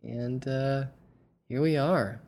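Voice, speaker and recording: Very deep, raspy woman hosting casually. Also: slow pace, a little mellow, slight background noise. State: hoarse from an allergic reaction. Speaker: podcast host. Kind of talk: casual chat among friends.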